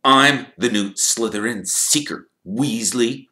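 A man reading an audiobook, voicing a spoiled, cruel teenage boy who talks in a smug, smooth, sneering way. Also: lowish voice, animated, normal speed, sharp tone, voice pushed up.

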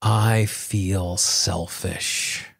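A man hosting a self-help podcast, coaching listeners directly in measured, deliberate phrases. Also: deep voice, steady tone, gravelly, husky, no room sound, clean studio sound. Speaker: podcast host and tapping coach.

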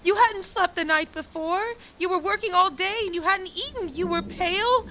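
An actress playing an earnest, worried young daughter in an audio drama, beseeching in manner. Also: female voice, very high voice, moderate pace, bright, forceful tone, slight background noise. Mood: defensive, worried.

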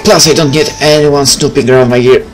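A man's voice: mid-range voice, steady tone, brisk, rough recording. A man doing a let's play, reading visual novel text aloud and adding casual comments. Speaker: gaming video creator. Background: game music.

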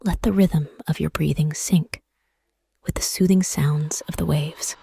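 A woman softly guiding a meditation in a whispery, husky voice, soothing and measured, with pauses between phrases. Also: deep voice, fairly even intonation, no room sound. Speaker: meditation guide. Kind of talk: guided meditation, soft scripted narration.

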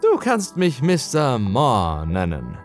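A man voicing a mysterious older man in a horror story, slyly friendly, with a slow, drawn-out delivery. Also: medium pitch, huge pitch swings, faint music behind, pitched above the performer's own voice. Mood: amiable, scheming. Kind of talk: horror audio story character acting.

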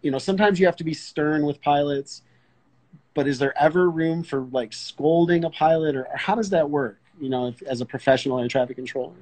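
A man's voice: fairly high pitch, fairly quick, a little mellow. A middle-aged man hosting a casual interview over a video call, thinking aloud as he frames his questions. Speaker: host, pilot interviewer. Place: indoors in an armchair, video call.

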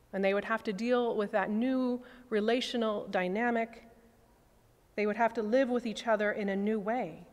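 A woman in her forties, a priest, preaching a sermon at a lectern, measured and reflective, with soft falling phrase endings. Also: mid-range voice. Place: cathedral chancel at a lectern. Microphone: stand mic on lectern. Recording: long church reverb.

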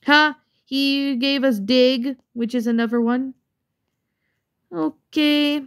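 A woman's voice: sits high, steady tone, takes it slowly, clear, resonant tone. A woman casually commenting on her own gameplay, chatty and rambling with many fillers, slightly congested from a cold. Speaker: let's play gamer.

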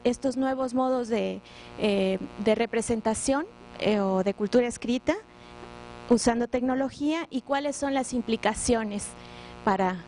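A woman's voice: a little high, a little mellow, a little hiss or hum, rough recording. A woman moderating an academic symposium, formally introducing speakers with some filler words. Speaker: panel moderator. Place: large auditorium.

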